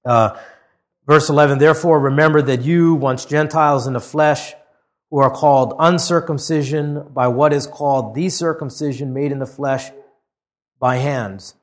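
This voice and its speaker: A man's voice: a little high, steady tone, moderate pace. A middle-aged man from Hawaii, preaching a sermon. He reads scripture aloud with rising emphasis, then talks conversationally with some fillers. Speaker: pastor. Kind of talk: expository sermon.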